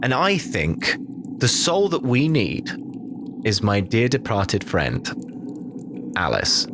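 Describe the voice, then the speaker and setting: A man's voice: lowish voice, huge pitch swings, takes it slowly, background noise, exaggerated voice. A man playing a vengeful, scheming gang boss in an improvised tabletop game, blunt and theatrical. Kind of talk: improvised tabletop role-play. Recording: music behind the voice.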